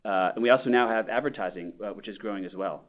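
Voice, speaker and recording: A man speaks in a measured, corporate way, pausing on "uh" and "um" as he answers an analyst on an earnings call. His voice sits a little low. It comes through a thin, phone-like conference line. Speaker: company executive.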